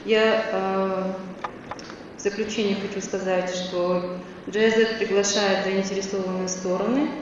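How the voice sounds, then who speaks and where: A woman's voice: mid-range voice, flat delivery, moderate pace. A woman giving a formal conference presentation, with a few hesitations. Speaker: conference speaker. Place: large conference hall. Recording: distant, echoey hall, dull, noisy.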